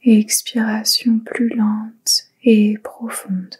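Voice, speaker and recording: A woman leading a guided yoga nidra relaxation in a soft, breathy, whispery voice, giving gentle, soothing instructions with pauses. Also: a little high, monotone.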